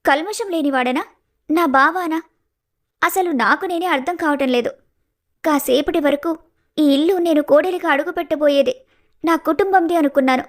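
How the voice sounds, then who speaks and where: A high, youthful female voice acted by a woman plays a gentle young village woman in a cartoon family tale. She is heartbroken and earnest, questioning herself with quiet sorrow. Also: moderate pace, long pauses, lightly acted. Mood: sad, questioning. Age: young adult. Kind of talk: animated family story dialogue.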